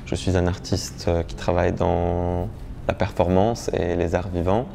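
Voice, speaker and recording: A man from Lebanon with a very low, gravelly voice and a dark, soft tone. He speaks in an interview with many hesitations and restarts. Faint background noise sits under the recording. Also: level tone, a little slow. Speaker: performance artist.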